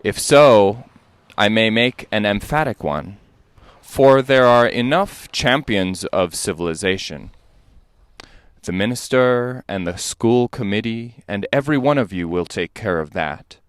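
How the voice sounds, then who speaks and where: A man's voice: lowish voice, animated, unhurried, clear, resonant tone, no echo. Man giving a dry, close dramatic reading. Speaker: dramatic reader. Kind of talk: dramatic reading of a 19th-century lecture.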